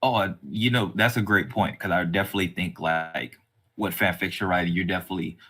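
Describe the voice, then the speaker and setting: A man with a fairly low, bright and forward voice hosts a podcast interview. His delivery is casual and rambling, as he works his way around each point. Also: fairly even intonation, brisk, breathy edge.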